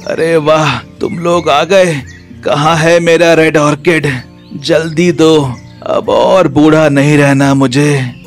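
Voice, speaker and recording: A man voicing a rich, greedy old landlord in a slow, commanding, drawn-out way. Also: fairly high pitch, steady tone, soft background music. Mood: eager.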